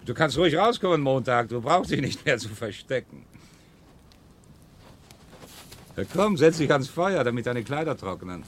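A male actor playing a calm, wry, hospitable outcast leader in a radio play, talking in an unhurried, conversational way. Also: medium pitch, a little hiss or hum, natural voice. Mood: calm, reassuring. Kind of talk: conversational radio drama acting.

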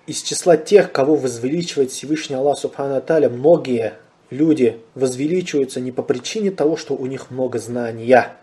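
A man giving a religious lecture. He poses rhetorical questions and answers them himself, and he recites Arabic phrases slowly before translating them. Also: dark tone. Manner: rises in pitch and volume on questions, drops low on honorifics. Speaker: Islamic lecturer.

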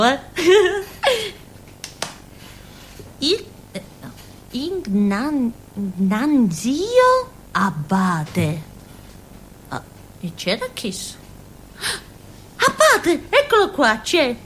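Childlike southern Italian village simpleton, swooping high voice. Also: female voice, heavily acted. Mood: childlike excitement. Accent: southern Italian, Calabrian. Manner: naive, halting, repetitive, prone to giggles. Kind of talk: theatrical monologue for radio.

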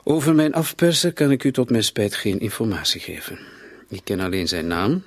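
Police commissioner, low voice, close dry sound, matter-of-fact, reflective, radio drama. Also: male voice, moderate pace, dry recording. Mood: reading gravely. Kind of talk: radio play acting.

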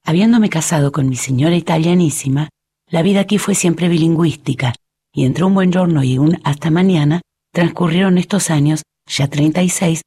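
A woman reading a listener's letter aloud on the radio in a measured, clear way. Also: low voice, dark tone.

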